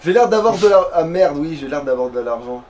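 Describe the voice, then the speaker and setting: A man's voice: a little high, expressive, fairly quick, a little mellow. A man giving casual, slangy, sweary gaming commentary with a friend. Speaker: let's play gamer. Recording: faint background game noise.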